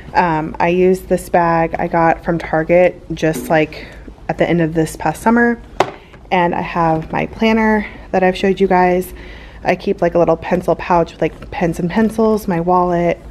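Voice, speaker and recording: A woman's voice: lowish voice, fairly even intonation, normal speed, a little mellow, a little hiss or hum. A young woman, an elementary school teacher, chatting casually to her camera in her classroom. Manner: chatty, filler-heavy, upbeat. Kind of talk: casual vlog chat. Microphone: handheld camera.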